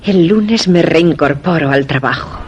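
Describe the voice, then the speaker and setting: An actress playing a secretive, hard wife in a Spanish radio suspense drama, terse and evasive, with a Castilian accent. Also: female voice, sits low, a little slow, muffled recording, loud music behind the voice. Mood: cool, evasive. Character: secretive, hard, curt wife hiding sinister work.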